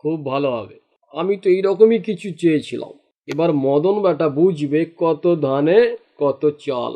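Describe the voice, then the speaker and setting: Sly, high-pitched village henchman. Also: expressive, normal speed, dark tone. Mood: sly scheming. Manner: scheming, goading, cocky. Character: sly, boastful village henchman egging on his boss.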